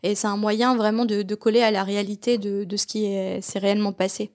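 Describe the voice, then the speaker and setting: A woman with an average-pitched voice talks casually as a podcast host. Her delivery is informal and playful, as in a chat among friends. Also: little pitch movement, brisk, a little mellow.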